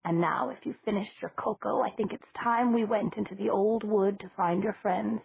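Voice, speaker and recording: Woman doing a gentle batty old witch. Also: medium pitch, steady tone, creaky, a little hiss or hum, natural voice. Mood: gentle, rambling. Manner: slow, gentle and rambling. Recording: dry, tinny phone-like sound.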